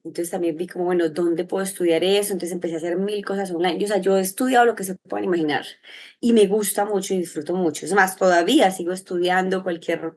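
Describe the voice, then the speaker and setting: A woman with a Medellín Colombian accent, answering in an interview in an expressive, reflective, rambling way. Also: lowish voice, quick pace. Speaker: interview guest, sexologist and psychologist.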